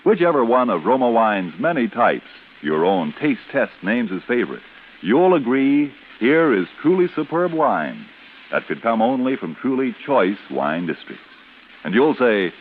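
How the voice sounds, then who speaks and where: A man's voice: medium pitch, slight background noise. A man reading a scripted 1940s radio commercial as announcer, with polished, grandiloquent salesmanship. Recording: tinny old radio broadcast, music.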